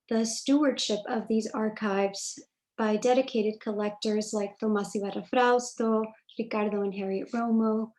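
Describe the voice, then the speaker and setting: A woman moderating a virtual talk, reading a scripted introduction in measured, formal phrasing over a video call. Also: medium pitch, darkish tone, low-quality recording.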